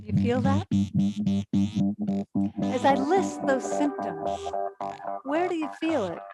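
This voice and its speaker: An actress as an earnest, high-voiced woman presenter, slow-paced. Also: huge pitch swings, over loud music, exaggerated voice. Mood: grave, quiet. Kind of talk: staged play reading, monologue as lecture.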